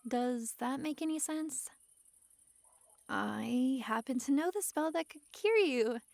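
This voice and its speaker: A young fox girl played by a woman speaks high and slowly in an intimate ASMR roleplay. She is coy and doting, with a gently possessive, coaxing softness, and her voice has a creaky edge in a very dry, close sound. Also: animated, no room sound, full character voice. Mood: soft explaining. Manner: soft, breathy sighs and giggles, coaxing, hesitant asides.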